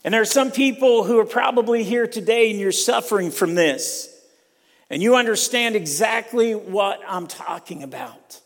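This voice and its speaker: An older man from Ohio preaching a sermon in a church, slow and emphatic, repeating key lines. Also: high voice. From Ohio, USA. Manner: slow, emphatic preaching with repetition and rising intensity. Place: church sanctuary. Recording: long hall reverb.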